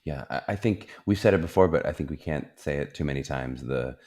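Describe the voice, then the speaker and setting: Earnest male podcast host, extremely deep voice, reflective. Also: brisk, darkish tone. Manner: reflective, earnest, many fillers. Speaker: podcast host, theater program director. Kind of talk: casual podcast interview.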